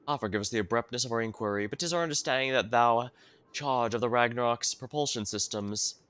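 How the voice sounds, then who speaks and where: A man's voice: medium pitch, a little fast, fairly bright tone, clean tone, close to an everyday voice. A man voicing a courteous video game traveller who speaks in formal, old-fashioned phrases.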